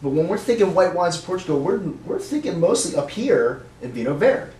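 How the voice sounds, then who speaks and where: A man's voice: high-pitched, big pitch swings, brisk, mellow. Chatty male webcast host, roomy sound. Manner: chatty, upbeat, many fillers. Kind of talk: live webcast hosting. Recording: roomy, faint noise.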